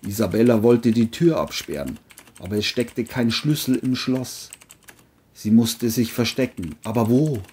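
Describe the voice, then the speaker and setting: A man's voice: mid-range voice, unhurried, darkish tone, breathy edge. A man narrating a scripted crime story as an audiobook-style reading.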